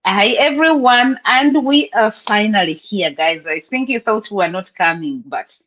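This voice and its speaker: Host: Ugandan-accented woman, bouncy and chatty, quick laugh, mid-pitched, on a video call. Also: animated, a bit bright, dull audio. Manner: upbeat, laughs often, switches between English and Luganda. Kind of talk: lively live-stream panel hosting.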